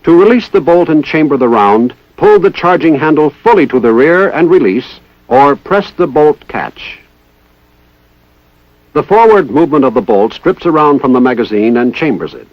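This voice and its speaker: A man with an American accent reading formal, methodical instructional narration in the style of a 1960s military training film. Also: fairly high pitch. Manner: formal, precise, methodical enunciation. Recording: dull lo-fi 1960s film soundtrack.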